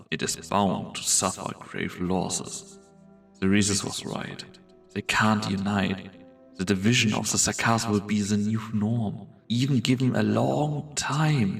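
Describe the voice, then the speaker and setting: A man playing a brooding, world-weary strategist in a fantasy game fan dub, delivering solemn, theatrical monologues with a gravelly voice. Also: on the low side, recorded from a distance. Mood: cold, calculating. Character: brooding, world-weary male strategist called Doctor, torn and grandiose. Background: background music.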